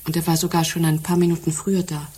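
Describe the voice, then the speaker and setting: An actress playing a composed, precise secretary in a German radio crime drama, speaking in a measured, polite way. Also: female voice, deep voice, little pitch movement, dark tone, breathy edge. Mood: factual, earnest. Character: composed, precise female secretary giving a statement.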